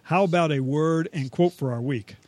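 A man's voice: a little high, normal speed, a little mellow, no room sound. A man co-hosting a radio show, bantering in a teasing, jokey way.

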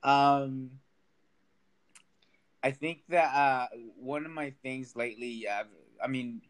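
A man's voice: medium pitch, steady tone, takes it slowly, low-quality recording. A young man from Sacramento, a stand-up comedian, chatting casually as a podcast guest, self-deprecating and laughing often. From Sacramento, United States.

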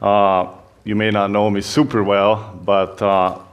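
A man's voice: on the low side, flat delivery, takes it slowly, smooth, lo-fi sound. Hungarian-accented young man, chatty church talk. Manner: chatty, self-deprecating, many fillers. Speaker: youth pastor. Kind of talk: church talk, personal story.

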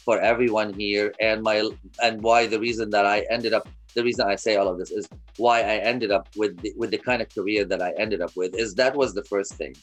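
Interview guest, man in his fifties from Kuwait, lowish voice, earnest, restarts a lot, faint music underneath. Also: fairly even intonation, fairly quick. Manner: earnest, repeats words and restarts often. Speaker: guest, media company founder. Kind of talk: casual interview storytelling.

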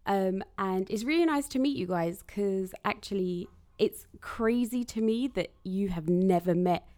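A woman's voice: mid-range voice, unhurried, darkish tone. A woman around thirty, born in Trinidad, speaking as a podcast guest in an earnest, flowing way. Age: late 20s to early 30s. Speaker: guest, radiographer. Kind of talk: casual podcast chat.

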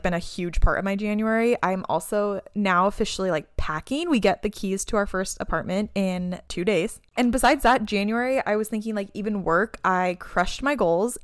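A woman's voice: medium pitch, clear, resonant tone, dry recording, studio quality. Chatty upbeat woman in her twenties. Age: 20s. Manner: chatty, upbeat, many "like" fillers. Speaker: podcast co-host. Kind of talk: casual chat.

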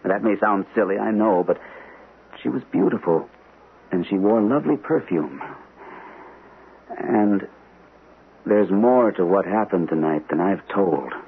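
An actor playing an earnest captain confiding a troubling story, speaking deliberately in vintage radio drama style. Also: male voice, fairly low pitch, expressive, creaky, husky, full character voice. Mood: earnest, confessional. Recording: lo-fi old radio recording, faint hiss.

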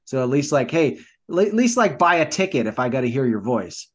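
A man's voice: medium pitch, big pitch swings, a little fast. A man co-hosting a podcast in casual chat, talking in a hesitant, rambling way. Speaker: podcast co-host.

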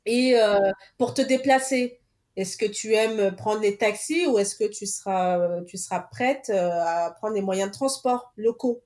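A woman co-host in casual conversation, calmly explaining travel habits with examples. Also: medium pitch, a little slow. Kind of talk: casual podcast chat.

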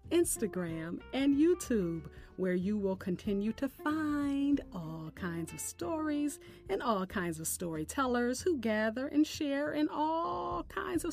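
A woman speaks as a podcast host with a fairly high voice. She takes her time, reading announcements and verse deliberately and expressively. Soft music plays beneath her. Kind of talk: scripted show sign-off and poetry recitation. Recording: music bed under the voice.

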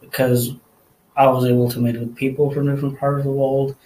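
A man's voice: medium pitch, flat delivery, fairly quick, warm tone, rough recording. Interviewee: male college student, Nepali native speaker, hesitant and thoughtful, faint background noise. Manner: hesitant, many fillers, thoughtful. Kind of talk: casual interview answers.

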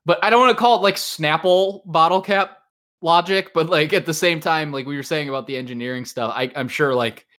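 A man's voice: a little high, fairly quick, a bit bright. Rambling, self-deprecating male podcast host. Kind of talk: casual podcast chat.

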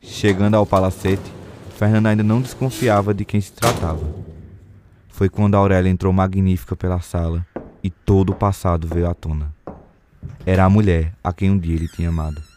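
A man's voice: sits low, fairly even intonation, fairly quick, darkish tone, a little hiss or hum. A Brazilian man reading scripted narration for a radio soap opera.

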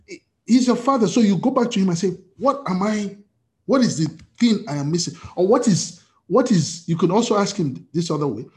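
A man's voice: fairly high pitch, darkish tone. A male pastor leading an interactive sermon over a video call, talking with many repeated restarts and emphatic rising bursts.

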